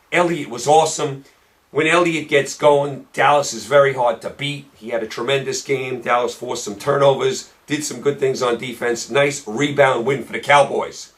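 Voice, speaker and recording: A man hosting a solo sports picks show, hoarse and losing his voice, talking emphatically and repeating points for stress. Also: medium pitch, fairly bright tone.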